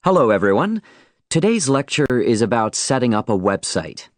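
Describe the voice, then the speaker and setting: A man delivering a scripted instructional lecture in an orderly, measured way. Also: mid-range voice, big pitch swings, no room sound.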